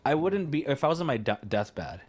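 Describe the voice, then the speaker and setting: A man's voice: a little high, sing-song, a little fast. A man co-hosting a podcast, telling stories in a rambling, chatty way, often laughing. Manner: rambling storyteller, laughs often, stumbles and restarts. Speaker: podcast co-host. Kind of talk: casual chat.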